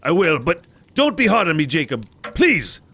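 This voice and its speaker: A man's voice: fairly high pitch, very animated, normal speed, bright, forceful tone, slight background noise. A man playing a grumpy, fearful old miser in a theatrical audio drama, exclaiming and stammering. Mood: fearful, pleading. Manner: exclaiming, stammering when frightened.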